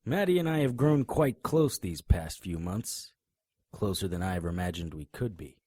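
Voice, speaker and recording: A man's voice: deep voice, animated, moderate pace, mellow, no room sound, clean studio sound. An actor playing a tough, righteous male private detective in an audio drama, confrontational and sardonic. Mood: firm, resolute. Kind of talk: hardboiled audio drama acting.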